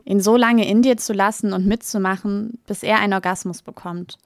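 A woman's voice: medium pitch, no room sound, clean studio sound. A woman delivering a scripted, confiding monologue that addresses the listener directly as you. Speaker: performer in an educational audio play. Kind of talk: scripted personal monologue addressed to her younger self.

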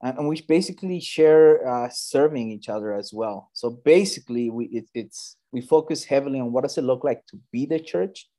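A man in his thirties talks through his story as an interview guest. He speaks earnestly and conversationally, often pausing with fillers and restarting phrases mid-thought. His pitch sits in an ordinary middle range. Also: dark tone. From United States, Bay Area. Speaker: church planter, guest.

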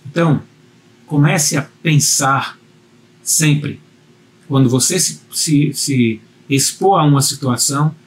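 A man's voice: a little high, slow, slight background noise. A man teaching a communication video lesson, speaking earnestly and directly to the viewer, often repeating himself for emphasis. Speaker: communication coach.

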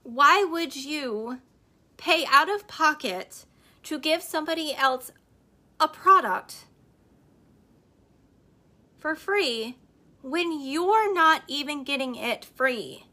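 A woman hosting a podcast, giving emphatic, repetitive business advice straight to her listeners, her voice slightly hoarse. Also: high-pitched, expressive, slow, pauses often, sharp tone. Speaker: podcast host and network marketer. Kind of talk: motivational business talk on a live stream.

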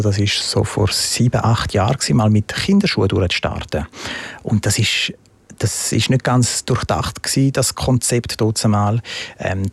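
Gravelly Swiss man, low voice. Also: no echo. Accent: Swiss German. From Switzerland. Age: young adult. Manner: hesitant, many fillers and restarts. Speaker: entrepreneur guest. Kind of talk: casual interview talk.

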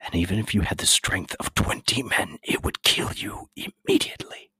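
A man voicing a poor, frail, fearful apothecary with a breathy whisper in a stage tragedy. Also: deep voice, expressive, moderate pace, pushed and bright, no room sound, heavily acted. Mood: furtive. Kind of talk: dramatic reading of a modernised stage tragedy.

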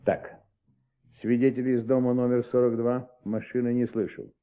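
A man playing a middle-aged, methodical police commissioner who thinks aloud and questions deliberately in a Soviet radio drama. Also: medium pitch, flat delivery, mellow, muffled recording, natural voice. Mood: pondering. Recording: old Soviet radio recording, faint music.

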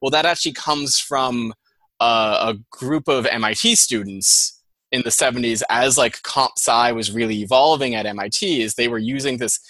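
Bright, chatty Boston man. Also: medium pitch, dry recording, low-quality recording. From Boston, USA. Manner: chatty, fast-flowing explanations with many "like"s. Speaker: guest, data scientist. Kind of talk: casual interview chat.

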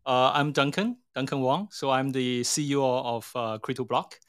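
A man's voice: mid-range voice, normal speed, clean tone. A middle-aged man with a Hong Kong accent, answering interview questions in a hesitant, explanatory way, often rewording as he goes. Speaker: interview guest, tech company CEO.

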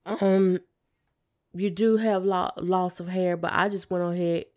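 A woman's voice: on the low side, fairly even intonation, unhurried, a little mellow. A woman co-hosting a podcast in a casual, conversational style. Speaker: podcast co-host.

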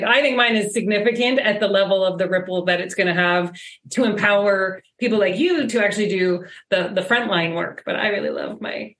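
A woman's voice: medium pitch, fairly bright tone. A woman hosting a podcast, chatting casually and informally with emphatic delivery. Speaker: podcast host. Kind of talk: casual conversational interview. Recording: roomy, echoing voice recording.

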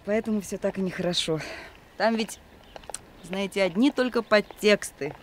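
A woman playing a wistful, self-reproaching stage actress in a radio drama, expressive and theatrical in her delivery. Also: mid-range voice, moderate pace, natural voice. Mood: earnest, expressive. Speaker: actor. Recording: noisy old radio play recording.

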